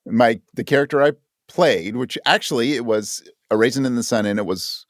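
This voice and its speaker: A man's voice: medium pitch, normal speed, no echo, clean studio sound. A man talking as a guest in a casual interview, reflective and self-deprecating. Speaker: podcast guest, actor and audio drama writer.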